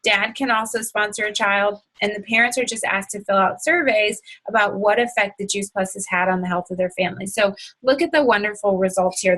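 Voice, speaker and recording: A woman talks through a promotional slide presentation in an upbeat, persuasive sales manner. Her pitch sits at an ordinary middle height. She often starts sentences with "so" and slips in small fillers as she reads. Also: a bit bright, poor audio. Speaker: presenter of a product webinar.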